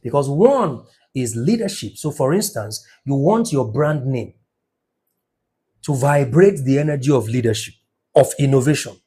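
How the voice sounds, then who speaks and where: A man's voice: mid-range voice, lively intonation, normal speed, warm tone, slightly rough. A man giving a numerology lesson, speaking emphatically and checking in with his listeners as he goes. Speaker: numerology teacher. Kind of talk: teaching talk.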